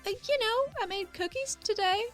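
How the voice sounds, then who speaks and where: A woman's voice: very high-pitched, normal speed, faint music behind. A woman playing a tabletop roleplaying game, casually improvising in a playful, breezy manner.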